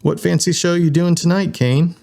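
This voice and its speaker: Casting: man to voice a cheeky male corgi space thief for an audiobook, pitch lifted, cocksure. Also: steady tone, moderate pace, vocal fry, mellow. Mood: teasing.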